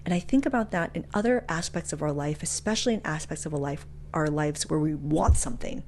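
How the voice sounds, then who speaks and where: Woman w lowish voice doing a motivational talk, casual n frank, emphatic, bit of noise and hum behind her. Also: brisk, darkish tone. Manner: casual, frank, emphatic delivery with slangy asides. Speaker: motivational speaker and podcast host. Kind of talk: motivational talk with personal anecdotes.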